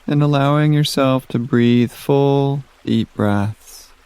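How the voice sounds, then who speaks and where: A man leading a guided meditation in a gentle, soothing manner, giving soft instructions with long pauses. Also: mid-range voice, clean tone, no room sound.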